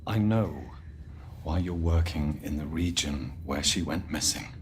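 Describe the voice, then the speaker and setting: An actor playing a polite company executive in a video game, measured, courteous and gently persuasive. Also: male voice, rumbling low, a little hiss or hum. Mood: calm, persuasive.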